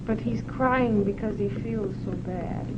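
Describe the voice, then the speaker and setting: A woman speaks in short, insistent statements, often slow, as a subject in a filmed experiment. Her voice comes through a dull, muffled old film soundtrack with a steady hiss behind it. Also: mid-range voice. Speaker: hypnosis subject in a test. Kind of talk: unscripted answers in an experiment.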